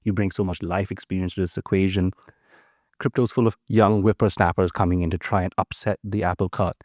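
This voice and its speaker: A man's voice: sits low, steady tone, fairly quick, darkish tone, no room sound, clean studio sound. A man hosting a podcast, enthusiastic and friendly as he introduces and questions his guest. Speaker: podcast host. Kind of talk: casual interview chat.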